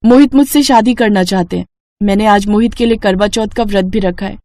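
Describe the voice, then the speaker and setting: A young woman in love from a family storybook drama, voiced by a female narrator. She speaks in a medium pitch with a shy, earnest manner. The recording is dry. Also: a little fast, darkish tone, no echo, lightly acted. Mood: timid pleading. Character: young in-love sister-in-law, shy, pleading.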